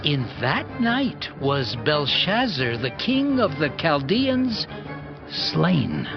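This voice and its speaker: A man narrating scripture from a script in a solemn, deliberate way. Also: fairly high pitch, wildly swooping pitch, vocal fry. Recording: dull lo-fi audio with music.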